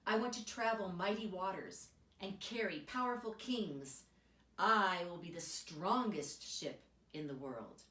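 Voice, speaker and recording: A woman's voice: lowish voice, unhurried, pushed and bright. A woman voicing a bold young male tree in a storybook who longs to be mighty, emphatic and boastful, in a roomy recording. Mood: bold, hopeful. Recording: roomy echo, distant microphone.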